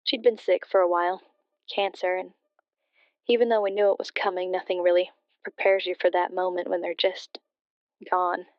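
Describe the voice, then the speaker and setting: Casting: actress as grieving female radio caller, hesitant, even intonation, smooth clean tone, slightly dull phone-in sound. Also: fairly low pitch, normal speed, no room sound, lightly acted. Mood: subdued grief. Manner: hesitant, subdued. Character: grieving, hesitant daughter phoning a late-night radio show. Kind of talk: confessional storytelling in radio drama. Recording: muffled, band-limited phone-in sound.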